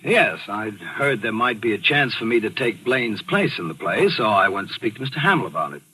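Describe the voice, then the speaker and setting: An actor playing a sly, smug, composed murderer in a 1940s radio thriller. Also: male voice, fairly low pitch, sing-song, a little fast, sharp tone, slightly rough, full character voice, rough recording. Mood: guarded, casual. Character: sly male actor, smug murderer narrating his crime. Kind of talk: 1940s radio thriller acting and first-person narration. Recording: old radio broadcast recording with music.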